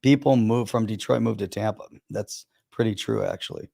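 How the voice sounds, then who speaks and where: A man's voice: lowish voice, moderate pace, creaky, mellow, dry recording, studio quality. A man hosting a casual live stream, chatting and opinionated as he thinks aloud. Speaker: crypto show host. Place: home in Florida.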